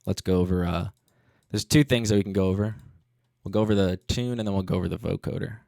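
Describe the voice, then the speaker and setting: A man's voice: deep voice, quick pace, gravelly, a little mellow, dry recording. A man hosting a casual livestream tutorial as a music producer, talking in a laid-back, slangy way into a studio microphone.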